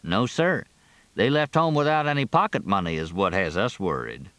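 A man's voice: fairly low pitch, lively intonation, normal speed, fairly bright tone, no echo. Man voicing a courteous, droll deputy. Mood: droll, concerned. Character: polite, drawling young male rural deputy, earnest and droll.

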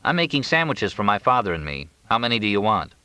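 Male narrator playing laconic young man, offhand, practical, bright tone, audiobook dialogue. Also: lowish voice, sing-song, quick pace, smooth, no echo. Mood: matter-of-fact. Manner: terse, offhand.

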